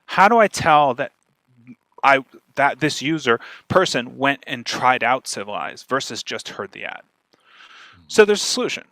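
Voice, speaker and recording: A man's voice: medium pitch, very animated, unhurried, bright, forceful tone, no echo, poor audio. A man presenting informally online as a guest speaker, explaining in an emphatic, conversational way. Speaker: guest speaker giving a marketing talk.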